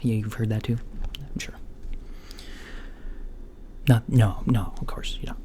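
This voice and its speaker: Man playing a slow, soft-spoken alien doctor. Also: fairly low pitch, close to an everyday voice. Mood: mild, hesitant. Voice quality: soft, breathy, often whispered. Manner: hesitant, gently deadpan, murmuring. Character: mild, puzzled alien doctor doing a routine checkup. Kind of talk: soft-spoken ASMR roleplay. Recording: lo-fi home recording, faint background noise.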